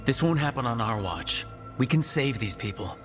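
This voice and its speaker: An actor playing an earnest, determined adventurer in a fantasy game, steady and resolute. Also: male voice, mid-range voice, normal speed, music in the background, natural voice.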